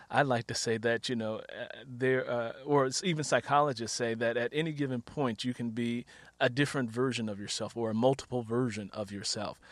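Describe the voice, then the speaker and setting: A man's voice: medium pitch, steady tone, fairly quick, dry recording. A middle-aged man hosting a casual interview, chatty and rambling, often repeating his phrases as he goes. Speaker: interviewer, podcast host.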